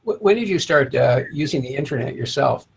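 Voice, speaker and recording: A man asking unscripted interview questions in a halting, tentative way. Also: mid-range voice, animated, vocal fry, husky.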